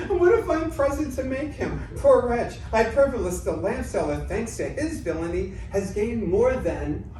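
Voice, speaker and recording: A woman's voice: a little high, sing-song, a little slow, dark tone. A woman reading the part of a cocky, scornful, quibbling debater in a staged reading of ancient comedy, with a theatrical, mocking delivery. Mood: amused scorn. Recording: noisy, echoey room, distant mic.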